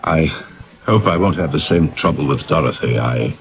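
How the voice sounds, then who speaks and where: An actor playing a middle-aged writer in a 1970s radio drama, theatrical, bitter and sardonic, fond of grand pronouncements. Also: male voice, extremely low pitch, animated, normal speed. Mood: troubled, curt. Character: brooding, grandiloquent male author, bitter and sardonic. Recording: dull lo-fi 1970s radio broadcast.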